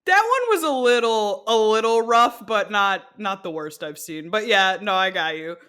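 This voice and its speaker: A woman's voice: medium pitch, normal speed, fairly bright tone, clean tone. A young woman co-hosting a casual podcast, rambling and laughing often as she talks. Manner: giggly, rambling, many "like"s. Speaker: podcast co-host, game designer.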